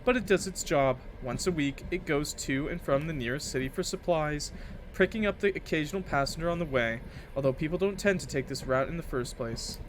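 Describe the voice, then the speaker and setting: A man voicing a brisk, scolding, dismissive mother in a visual novel. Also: fairly high pitch, slight background noise, lightly acted, low-quality recording. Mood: matter-of-fact.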